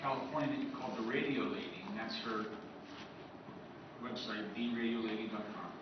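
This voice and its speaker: A man's voice: fairly low pitch, normal speed. Man lecturing in an echoey hall. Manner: rambling, listing items, friendly asides to the audience. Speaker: author giving a convention talk. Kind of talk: informal lecture to a live audience. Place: convention meeting room. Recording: distant echoey room mic, muffled with background hiss.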